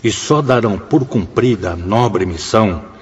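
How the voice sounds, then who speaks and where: An actor with a Brazilian accent playing a solemn, reverent spirit mentor in a radio drama, with measured, declamatory delivery. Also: male voice, fairly low pitch, expressive, husky. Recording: dull radio drama mix under loud music. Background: music.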